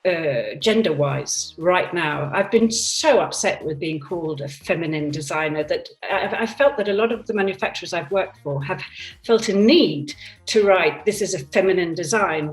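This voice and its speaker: A woman in her fifties talks in a reflective, candid way, often restarting her sentences. Her voice sits on the low side. She sounds distant from the computer mic in a roomy space, with faint music playing underneath. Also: moderate pace. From Denmark and England. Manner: thoughtful, candid, with frequent restarts. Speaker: product designer interviewed. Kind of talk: reflective conversational interview. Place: home by the seaside. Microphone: computer on a video call.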